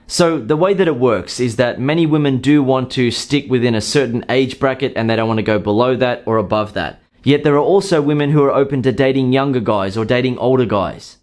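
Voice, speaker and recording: A man calmly explaining dating advice in a scripted monologue, acting out short example lines now and then. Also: mid-range voice, brisk, few pauses. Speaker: dating coach.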